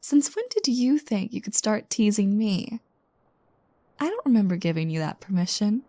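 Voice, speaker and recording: A woman playing a playful, teasing new girlfriend just waking up, speaking softly and intimately in a roleplay audio. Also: medium pitch, big pitch swings, dry recording. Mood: mock bossy, teasing. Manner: soft, breathy asides, teasing, unhurried.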